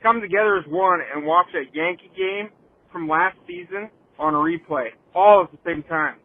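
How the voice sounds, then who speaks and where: A man with a high-pitched voice leaves a casual phone message pitching an idea. The sound is dry, muffled and lo-fi. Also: normal speed, no echo. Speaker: voicemail caller. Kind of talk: casual pitch to podcast hosts.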